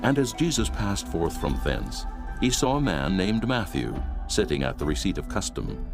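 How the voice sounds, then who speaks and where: Very deep-voiced, dramatic male narrator. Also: sing-song, normal speed, music in the background. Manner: dramatic, solemn storyteller. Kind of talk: scripted dramatized Bible narration.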